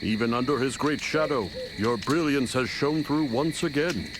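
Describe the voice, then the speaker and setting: An actor playing a proud, principled noble officer in a video game, speaking in a formal, courtly and earnest way. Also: male voice, medium pitch, normal speed, noisy background, lightly acted. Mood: admiring. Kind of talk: dramatic video game acting.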